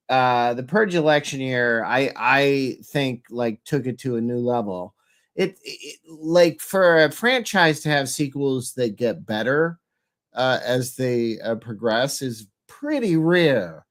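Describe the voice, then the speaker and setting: A man with a head cold, nasal and congested, hosting a casual show in a halting, rambling way. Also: mid-range voice. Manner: halting, searching for words. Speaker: podcast host.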